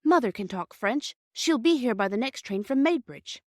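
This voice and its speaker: Kind young girl for storybook adult woman performing; high, earnest, pleading. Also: lively intonation, moderate pace, dry recording, clean studio sound, natural voice. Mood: urgent. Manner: earnest, pleading, hesitant with French.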